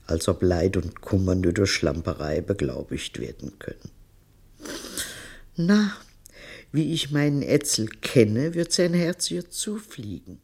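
An actress in a German radio play playing a shrewd, critical old grandmother muttering to herself in a gravelly, husky voice. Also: very deep voice, very animated, takes it slowly, a little mellow, full character voice. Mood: appraising, musing. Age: older.

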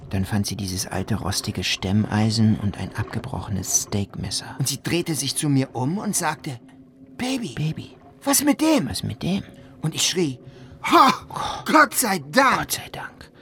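Ein Schauspieler spielt einen Ehemann, der in einem dramatischen Monolog eindringlich und entschlossen von seiner Flucht vor einer Flut erzählt. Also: male voice, medium pitch, big pitch swings, a little slow, a bit bright, a little hiss or hum. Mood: agitated, insistent. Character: married man recounting a flood escape, urgent and determined. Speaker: radio drama actor. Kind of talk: dramatic first-person survivor monologue.

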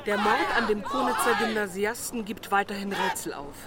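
An actor playing a composed radio news presenter, speaking in a formal, measured and articulate way. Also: medium pitch, a loud crowd, very noisy, natural voice. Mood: matter-of-fact. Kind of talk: formal scripted broadcast news reading.

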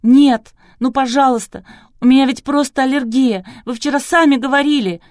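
A hysterical young woman, acted by a female narrator in an audiobook. Her voice is pitched high and shrill, wailing and begging in fright, with a dry studio sound. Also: normal speed, a little mellow, dry recording, close to an everyday voice. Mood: pleading, hysterical.

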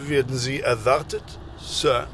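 An actor playing an impersonal, impeccably formal butler in a radio drama, polite and reserved. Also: male voice, medium pitch, fairly even intonation, measured pace, fairly bright tone, slight background noise.

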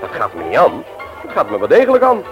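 A man's voice: medium pitch, huge pitch swings, quick pace, highs cut off. An authoritative older brother in a radio play. His voice moves widely up and down as he probes and insists, with music behind him. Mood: suspicious, challenging. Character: firm, persistent older brother investigating a planned burglary. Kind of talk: Dutch radio drama acting.

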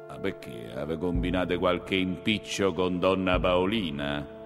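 A male actor plays a weary, wary old Neapolitan churchman with a very low voice. He speaks theatrically, like a powerful uncle burdened by trouble, in a long echoing hall with music behind. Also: takes it slowly. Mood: suspicious. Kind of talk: theatrical monologue acting.

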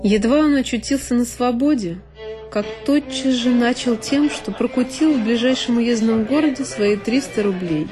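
A woman's voice: on the high side, normal speed. A woman narrating a classic novel as a scripted solo audio performance. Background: music.

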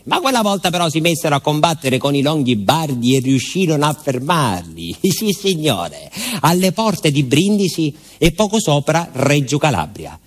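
An actor playing a talkative, proud village postman with a southern Salento accent, telling stories in a rambling, deferential stage monologue. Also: fairly high pitch. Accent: Salento, southern Italy. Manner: rambling folksy storytelling, repeats himself, seeks confirmation. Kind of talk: theatrical monologue.

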